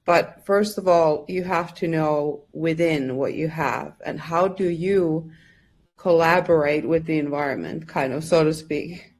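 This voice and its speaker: A woman's voice: low-pitched, unhurried, a little mellow. A woman from Finland whose first language is Finnish, answering interview questions as a guest coach in a thoughtful, earnest way.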